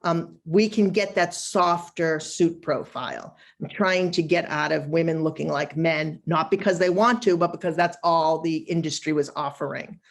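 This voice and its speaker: A woman's voice: deep voice, moderate pace, creaky, few pauses. A woman with a Boston accent, a wardrobe stylist talking casually in a live online session, chatty and emphatic, laughing easily. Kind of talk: casual live webinar talk.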